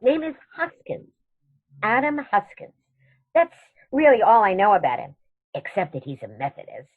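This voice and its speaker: An actress playing a genteel elderly aunt in a comedy radio play, serenely matter-of-fact and gently chiding. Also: female voice, mid-range voice, big pitch swings, moderate pace, narrow, tinny audio. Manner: prim, gently chiding, unruffled. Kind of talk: stage comedy radio theatre acting.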